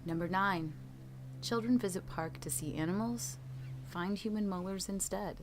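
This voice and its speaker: A woman's voice: medium pitch, moderate pace, a little hiss or hum. A woman reading scripted true scary stories as a storytelling narrator.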